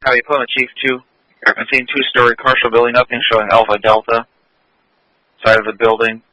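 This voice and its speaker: A man's voice: mid-range voice, little pitch movement, fairly quick, pushed and bright, dry recording, poor audio. A man working as an emergency dispatcher, reading reports back over the radio in clipped, procedural phrases. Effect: two-way radio. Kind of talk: terse radio readback.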